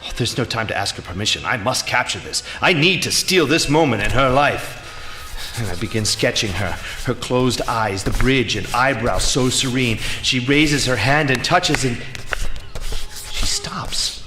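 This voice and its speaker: Man acting an excitable, theatrical artist, wildly lively. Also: mid-range voice, a little slow, over music, natural voice. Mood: hushed, awestruck. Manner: theatrical, exclamatory, dramatic. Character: exasperated, excitable male artist, dreamy and awestruck. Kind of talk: theatrical live stage monologue. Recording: live theatre recording, clear background noise.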